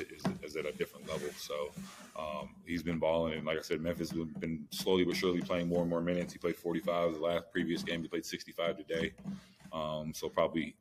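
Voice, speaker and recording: A man's voice: rumbling low, slight background noise. A man co-hosting a sports podcast, giving casual analysis in slangy, informal speech with repeated phrases.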